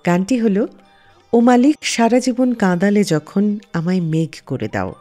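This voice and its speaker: A woman presenting a music radio show, telling anecdotes in an expressive storytelling way. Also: mid-range voice, unhurried.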